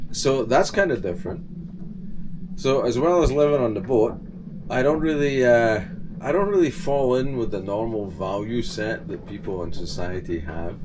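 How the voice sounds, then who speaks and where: A man's voice: medium pitch, noisy background. An older man from Scotland with a Scottish accent, talking plainly and casually to camera about his life aboard his sailboat. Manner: plain-spoken, relaxed, rambling. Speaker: channel host. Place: on board his sailboat, indoors in the cabin.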